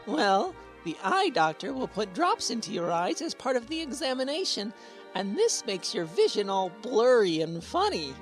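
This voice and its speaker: A woman's voice: a little high, very animated, moderate pace, faint music behind. A woman playing a gawky, earnest teenage schoolboy in a radio sitcom, wordy and easily flustered. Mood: cheerful. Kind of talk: old-time radio sitcom acting.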